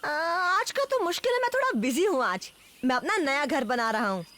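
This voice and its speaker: A woman voicing a bold, headstrong jungle boy in a Hindi cartoon dub, lively and quick to argue. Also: high-pitched, noisy background. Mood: friendly. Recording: studio voice under loud cartoon music.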